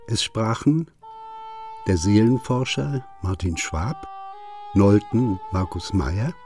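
A man's voice: lowish voice, mellow. A man announcing a radio play in a scripted, formal credits style.

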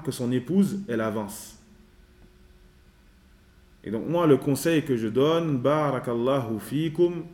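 A man teaching a religious lesson in a measured way, repeating his advice and mixing in Arabic phrases. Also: mid-range voice. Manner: mixes in Arabic phrases and recitation. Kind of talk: religious lesson with questions and answers. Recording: echoey room.

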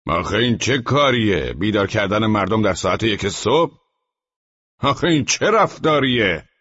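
A man's voice: fairly low pitch, animated, fairly bright tone, breathy edge, pitched above the performer's own voice. A man voicing a tall, gaunt, indignant neighbor who scolds with formal menace in an audiobook. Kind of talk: audiobook character voice. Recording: dull, muffled, echoing room recording.

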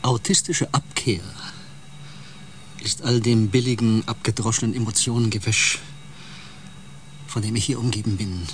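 Male empath crewmate , actor: haughty, contemptuous, lowish pitch, noisy background, radio drama. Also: steady tone, moderate pace, pauses often, lightly acted. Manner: haughty, disdainful.

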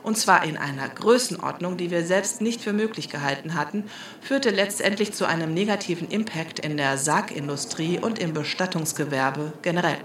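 A woman's voice: on the low side, moderate pace, a bit bright, close to an everyday voice. An actress playing a glib bureaucratic spokeswoman, explaining things in officious, jargon-laden language. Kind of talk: mock-official interview statement in satirical drama.

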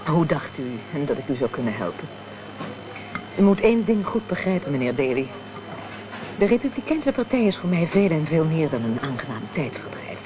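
A woman's voice: low voice, very animated, normal speed. A woman playing a guarded, proud widow in a Dutch radio drama. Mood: earnest, firm. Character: guarded, proud widow devoted to republican politics. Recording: dull, noisy old radio recording.

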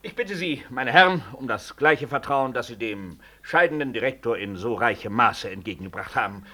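An actor in a 1960s German radio play, playing an officious, scheming professor who talks in formal, procedural phrases. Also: male voice, medium pitch, animated, moderate pace, sharp tone. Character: officious, scheming male professor, rival deputy director.

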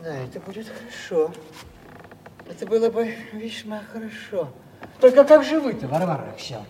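An actor playing a timid, rambling old father in a theatrical audio play, halting and repeating himself. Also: high-pitched, sing-song, dark tone. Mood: astonished. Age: older. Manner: hesitant, repetitive, flustered and halting. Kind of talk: theatrical stage acting of a Russian classic. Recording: echoey hall, distant mic, faint noise.

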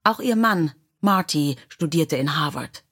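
Female storybook narrator, fairly low and husky voice. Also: animated, unhurried, a bit bright. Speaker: audiobook narrator.

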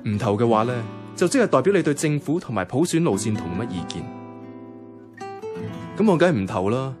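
A male actor as a young Hong Kong Cantonese man telling his own story in a radio drama. He is lovelorn and introspective, with an animated, swinging delivery at middle pitch over music. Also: fairly quick, natural voice. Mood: wry. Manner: colloquial, introspective. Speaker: lead narrator. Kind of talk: first-person radio drama narration.

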